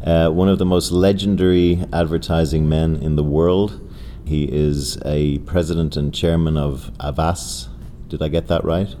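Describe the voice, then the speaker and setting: A man's voice: very deep voice, flat delivery, measured pace, dark tone. A man from Ireland with an Irish accent hosting a conversational podcast interview. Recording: clear background noise.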